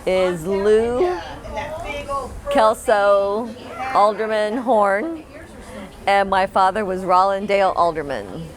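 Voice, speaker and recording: A woman's voice: medium pitch, takes it slowly, long pauses. A woman from Florida, interviewing her family and laughing often. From Florida, USA. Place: outdoor family reunion. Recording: music and crowd chatter behind.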